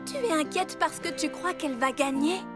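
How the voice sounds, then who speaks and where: An expressive, encouraging young female cartoon character. The pitch is very high and leaps around a lot, with prominent children's music behind it. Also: normal speed. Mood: reassuring.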